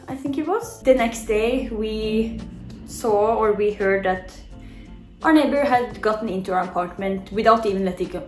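A young woman from Norway with a Norwegian accent, chatting to camera in a living room, telling a story with lots of "you know" and quick laughs. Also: mid-range voice, echoey room. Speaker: vlogger.

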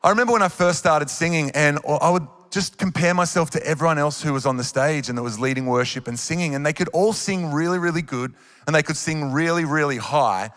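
A man's voice: fairly high pitch, brisk, a bit bright. A man in his thirties with an Australian accent, preaching a sermon on a church stage into a handheld mic, earnest and encouraging. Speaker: worship leader preaching. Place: large church auditorium on stage. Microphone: handheld microphone. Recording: live hall reverb.

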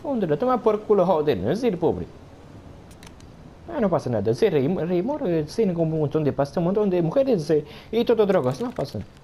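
A swaggering, foul-mouthed rich man, put on by a male gamer, barks in broken speech, cursing at nearly every phrase. His pitch leaps and dips with lively swings, and a faint hiss of noise sits under the voice. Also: brisk. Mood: rude. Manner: swears constantly, broken grammar, brash and rude. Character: crude boastful foreign rich man, foul-mouthed, arrogant. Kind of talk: gameplay commentary in character.

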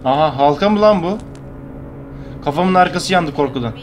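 A man's voice: on the high side, expressive, normal speed, music in the background. A young man from Turkey giving casual, slangy, joking reaction commentary as a video host. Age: young adult. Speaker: YouTube host reacting to a horror cartoon. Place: bedroom at home.

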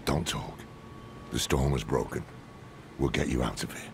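An actor playing a grim, weary old demon hunter in a dramatic fantasy game, speaking gravely and bluntly. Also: male voice, noisy background. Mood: grim, urgent. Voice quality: very gravelly, rumbling. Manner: grave, deliberate, sparing with words. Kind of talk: dramatic game dialogue and narration.

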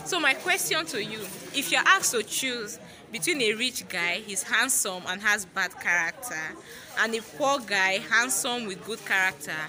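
A woman's voice: a little high, expressive, moderate pace. A woman asking casual vox pop questions outdoors as a street interviewer. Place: open-air school campus. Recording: outdoor campus, busy crowd chatter. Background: crowd chatter.

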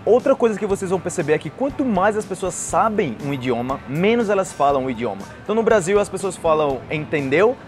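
Fast-talking young Brazilian man, higher-pitched voice. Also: big pitch swings, soft background music. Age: young adult. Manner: explanatory, illustrates with acted example phrases. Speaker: language teacher and host. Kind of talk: conversational language lesson to camera. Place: outdoors on a patio by trees and a street. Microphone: lapel mic.

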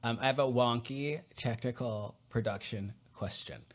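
A man's voice: mid-range voice, moderate pace. Podcast host guy, 40s, headset video call, asks chatty curious questions. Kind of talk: casual interview. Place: home room, indoors.

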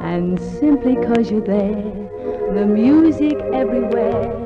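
A woman's voice: a little high, takes it slowly. A woman singer performing a romantic ballad in the style of 1950s radio. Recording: dull 1950s radio recording. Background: organ music.

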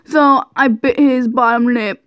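A woman's voice: fairly high pitch, fairly even intonation, a little slow, clear, resonant tone, dry recording, clean studio sound. A woman doing a casual, goofy read-aloud of fan fiction, giggly and often stumbling over her words. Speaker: amateur reader of fan fiction.

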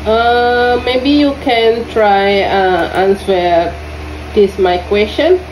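A woman from Indonesia with an Indonesian accent teaching English to young students in an online lesson. She speaks haltingly, repeats her questions and fills her pauses with "uh". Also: mid-range voice, a little mellow. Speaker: English tutor. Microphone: lesson audio recorded on a phone. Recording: roomy phone recording with faint noise.

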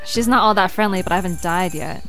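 A woman's voice: fairly low pitch, quick pace, music in the background, close to an everyday voice. A woman acting a cautious, sarcastic young girl in an audio drama, casual and exasperated.

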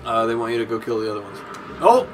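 A man playing a video game with friends, chatty and prone to excited exclamations. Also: medium pitch, fast, faint music behind. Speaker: let's play player. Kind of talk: casual gaming banter.

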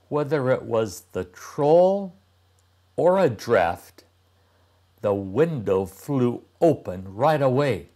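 A man in his sixties reading a storybook aloud to young children, deliberately and with dramatic pauses. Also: mid-range voice, darkish tone. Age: older, about 60s. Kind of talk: expressive storybook narration.

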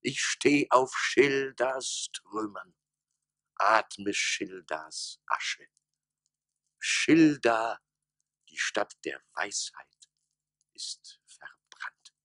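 An actor playing a pompous, forlorn mayor of a ruined town of fools in a radio play, with a hoarse, breathy rasp and theatrical, declamatory delivery. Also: male voice, lowish voice, very animated, a bit bright, no echo, full character voice. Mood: forlorn, weary. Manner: theatrical, declamatory, slow dramatic pauses. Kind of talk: German radio play monologue.

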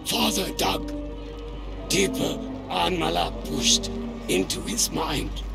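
An actor playing a solemn, foreboding alien mystic in a video game, with halting broken speech and a gravelly, breathy voice. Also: male voice, fairly high pitch, exaggerated voice. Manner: halting broken speech, dropped words, long pauses. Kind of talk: dramatic game cutscene acting. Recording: roomy sound under loud cinematic music.

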